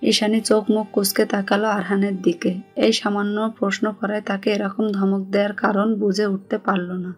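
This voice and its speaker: Even-toned Bangladeshi woman narrating over music. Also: mid-range voice, normal speed. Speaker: audio story narrator. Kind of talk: scripted narration of a romantic story. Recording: music bed behind the voice.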